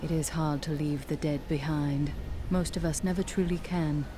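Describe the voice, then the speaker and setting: An actress playing a guarded, thoughtful companion in a fantasy game, composed and serious. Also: female voice, sits low, fairly even intonation, normal speed, noisy background, natural voice. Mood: sober concern. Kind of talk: dramatic fantasy game dialogue.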